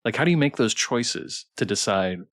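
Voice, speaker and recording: Low-voiced man in casual interview chat. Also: animated, moderate pace, no room sound, clean studio sound. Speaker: streamer hosting a developer interview.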